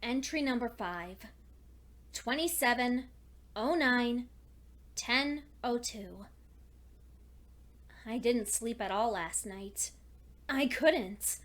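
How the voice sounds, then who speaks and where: A lonely, studious pony mare acted by a woman dictates her journal in a high, feminine voice. She is anxious yet clinging to hope, speaking earnestly and deliberately, with dramatic pauses suited to a dark fan fiction reading. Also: animated. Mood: distressed, lonely.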